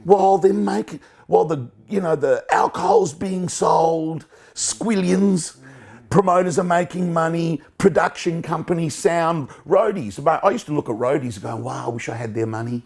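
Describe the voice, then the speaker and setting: A man's voice: a little high, moderate pace, creaky, a little mellow. A man telling stories animatedly in an interview.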